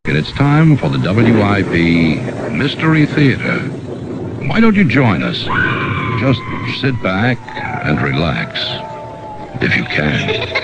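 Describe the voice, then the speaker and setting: Male station announcer, theatrical, very slow, wildly varied pitch, loud background noise. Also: huge pitch swings. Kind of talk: theatrical radio show intro. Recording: very noisy, distant old radio recording.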